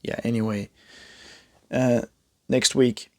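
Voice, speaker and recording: A man's voice: medium pitch, little pitch movement, slow, mellow, dry recording, clean studio sound. A young man from Denmark with a Danish accent, chatting casually while he draws, rambling and joking at his own expense. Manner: rambling, chatty, self-mocking, many fillers and restarts. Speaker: art vlogger. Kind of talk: casual chat while drawing.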